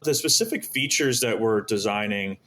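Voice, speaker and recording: Male interview guest, fairly low voice, earnest explainer who talks through his ideas as a founder would. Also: animated, moderate pace, sharp tone. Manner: earnest, explanatory, asks and answers his own questions. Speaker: podcast guest, startup founder.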